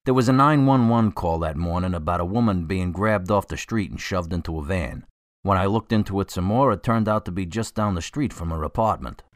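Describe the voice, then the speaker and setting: An actor playing a casual, matter-of-fact police officer in a radio drama. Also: male voice, low voice, brisk, no room sound, studio quality, lightly acted.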